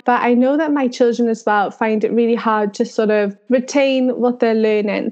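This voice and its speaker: Welsh lady host, bit high voice, dull muffled recording, keeps agreeing. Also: a little mellow. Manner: affirming, long framed questions. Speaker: interview host, works with children. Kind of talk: friendly interview questions.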